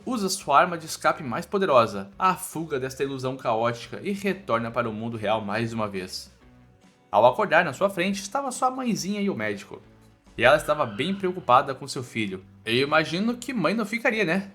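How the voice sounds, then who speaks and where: A man's voice: fairly high pitch, sharp tone. A Brazilian man narrating a scripted story recap informally, in colloquial, slangy language with asides to the listener. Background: background music.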